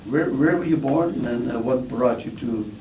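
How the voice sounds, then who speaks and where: A man's voice: mid-range voice, moderate pace. A man interviewing a guest, prompting him with leading questions. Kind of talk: oral history interview. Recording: roomy, distant, noisy recording.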